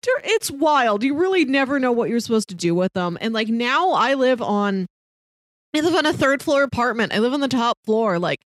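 A young woman with a fairly high, very animated voice, talking casually as a podcast co-host. She is chatty and wry, sprinkling "like" through her sentences. The sound is dry and close. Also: normal speed, dry recording. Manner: chatty, wry, peppers talk with "like". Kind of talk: casual movie podcast chat.

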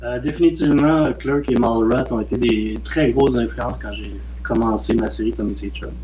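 A man in his late forties with a Québécois French accent, a film director talking in a relaxed, rambling way as a podcast guest. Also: medium pitch, moderate pace, background noise. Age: late 40s. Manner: relaxed, rambling, frequent "euh" and "mettons". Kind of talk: casual podcast interview.

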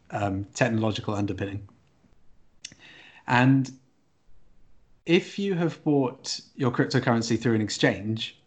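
Mid-pitched man explaining tech conversationally. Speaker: podcast co-host.

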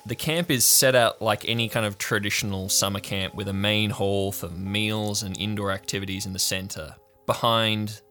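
A man's voice: on the low side, pushed and bright. A man narrating an improvised tabletop game as game master, in a descriptive storytelling way.

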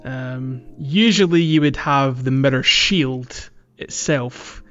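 A man's voice: medium pitch, slow pace, a bit bright. A man co-hosting a podcast, casually explaining game details in an informal way, on a slightly lo-fi recording. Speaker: podcast host. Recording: slightly dull, lo-fi microphone sound. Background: faint music.

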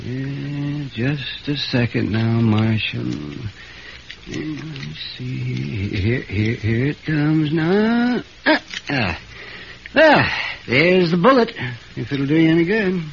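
An actor playing a crusty, ghoulish frontier town doctor, muttering and morbidly cheerful, in a 1950s radio Western. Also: male voice, a little high, expressive, slow pace, vocal fry, music in the background, full character voice. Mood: muttering, busy. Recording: dull, slightly noisy old radio recording.

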